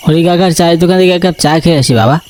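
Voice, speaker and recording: A man's voice: a little high, rapid-fire, a little mellow, slight background noise, natural voice. A man voicing a cocky, sceptical young landlord's son back from the city in a cartoon. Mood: mocking, annoyed. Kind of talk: dramatized cartoon dialogue.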